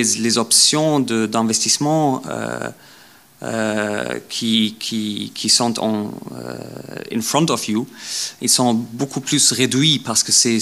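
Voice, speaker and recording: A man giving an academic lecture in a language that is not his first, halting often with repeated words and fillers. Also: medium pitch, creaky, a bit bright. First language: not French. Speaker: guest lecturer.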